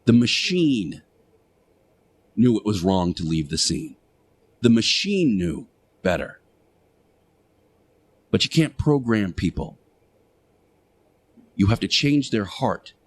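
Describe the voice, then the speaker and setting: An earnest religious teacher played by a man delivers a radio sermon in a fairly low voice. He is preachy and admonishing, leaning on key words with deliberate pauses and repetition. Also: very animated, husky, full character voice. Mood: grave, insistent. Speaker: radio show host. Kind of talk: radio monologue, moral sermon.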